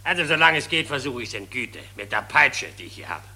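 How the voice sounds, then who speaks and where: An actor playing a brutal, self-righteous wartime employer with a harsh voice in a 1940s German radio play. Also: male voice, mid-range voice, animated, brisk, bright, forceful tone, breathy edge, heavily acted. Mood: menacing. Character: brutal whip-carrying wartime employer, hypocritically reasonable. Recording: vintage 1940s radio recording.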